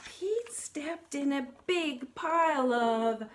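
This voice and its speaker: A woman reading a picture book aloud to young children in an animated way, putting questions to her listeners and exclaiming along with the story. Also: high-pitched, takes it slowly.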